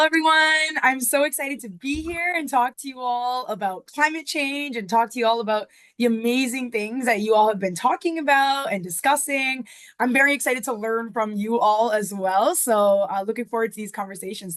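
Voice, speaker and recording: A woman environmental educator greets students as a panel guest. She sounds enthusiastic. Her voice is fairly high and the sound is dry and close. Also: moderate pace, a bit bright, no echo.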